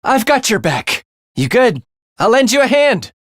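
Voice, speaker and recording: An actor playing a morbid young man in a video game, a soft-spoken killer who is languid, menacing and gleefully excited by death. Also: extremely high pitch, a little slow, no room sound, studio quality, full character voice. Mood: eager, combative. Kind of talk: theatrical video game voice acting.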